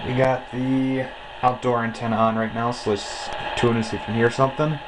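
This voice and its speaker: A man's voice: medium pitch, flat delivery, a little fast. An American man casually giving a hands-on demonstration as a radio hobbyist, in an indoor setting. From United States. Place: indoors beside a shortwave receiver. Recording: clearly noisy, shortwave radio hiss.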